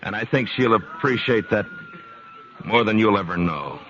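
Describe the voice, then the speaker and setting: An actor playing a calm, firm frontier marshal in a vintage radio drama, plainspoken and measured. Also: male voice, fairly low pitch, lightly acted. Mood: steady. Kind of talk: 1950s radio drama acting. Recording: dull vintage radio recording.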